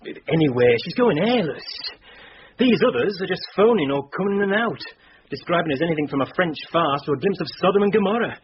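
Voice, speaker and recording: An actor playing a harassed, exasperated police station officer in a radio drama, flustered and weary. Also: male voice, fairly high pitch, close to an everyday voice. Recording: dull lo-fi radio with faint noise.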